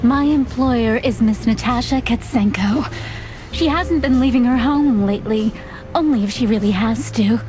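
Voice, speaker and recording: A woman's voice: on the high side, normal speed, over music, close to an everyday voice. A woman playing a timid but dutiful young fawn secretary in a film-noir video game, polite, reserved and a little hesitant yet composed. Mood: concerned.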